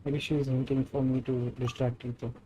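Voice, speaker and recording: A man's voice: mid-range voice, little pitch movement, moderate pace, dark tone, highs cut off, a little hiss or hum. A man with an Indian accent reading game dialogue aloud as a streamer.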